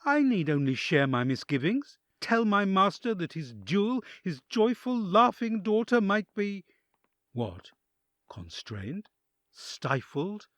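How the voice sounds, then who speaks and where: An actor playing a count's anguished envoy torn by conscience, narrating an audio drama in an expressive, literary, introspective way. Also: male voice, sits high, takes it slowly, no room sound, clean studio sound, heavily acted. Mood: troubled, urgent.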